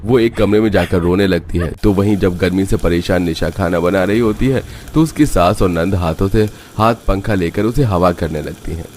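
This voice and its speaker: A man's voice: sits low, moderate pace, few pauses, darkish tone, a little hiss or hum. A man reading scripted narration for a cartoon story. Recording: music playing behind the voice. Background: background music.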